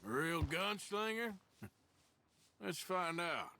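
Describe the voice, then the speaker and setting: An actor playing a dry-humored gunslinger with a laconic drawl in a western video game. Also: male voice, high voice, animated, slow pace, gravelly, sharp tone, a little hiss or hum, exaggerated voice. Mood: wry. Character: gruff dry-humored male cowboy gunslinger.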